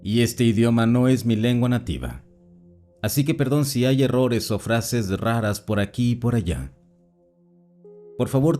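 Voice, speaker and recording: A man calmly narrating written personal accounts as a scripted story reading. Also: medium pitch. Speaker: narrator reading listener stories. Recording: voice-over with faint background music.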